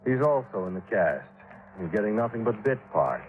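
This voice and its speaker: A man's voice: lowish voice, very animated, normal speed. An actor playing a worried, exasperated businesslike insurance man in a 1950s radio drama. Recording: dull lo-fi 1950s radio recording.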